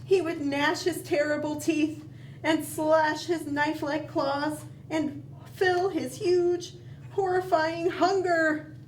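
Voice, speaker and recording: A woman hosting a children's storytime, reading a picture book aloud expressively, with dramatic pauses and questioning asides to young listeners. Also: sits high, steady tone. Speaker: children's library storytime host. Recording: roomy, distant mic, faint background noise.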